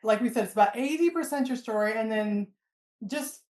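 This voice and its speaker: A woman's voice: fairly high pitch, fairly quick, a little mellow. An American woman in her fifties hosting a casual conversational interview and asking questions informally. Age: 50s. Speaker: podcast host. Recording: roomy, echoey sound.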